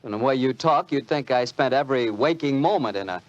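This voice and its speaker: A man's voice: mid-range voice, fairly quick. A man with an American accent, a polished, emphatic announcer in 1940s radio style. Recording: muffled 1940s radio recording, music behind. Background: music.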